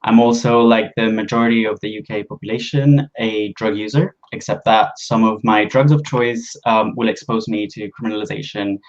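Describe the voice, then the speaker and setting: A man from Venezuela, introducing himself as a panelist in a measured way with frequent ums. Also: lowish voice. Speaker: panelist, drug policy advocate. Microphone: video call. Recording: slightly dull lo-fi call audio.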